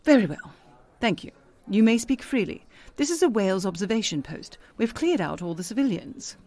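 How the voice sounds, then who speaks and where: A woman's voice: mid-range voice, big pitch swings, normal speed, warm tone. An actress playing a self-assured, all-knowing female schemer in a comedic audio drama. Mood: dry.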